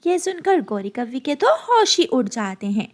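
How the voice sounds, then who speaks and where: A woman's voice: sits high, big pitch swings, normal speed. A woman storyteller giving scripted narration for a children's cartoon.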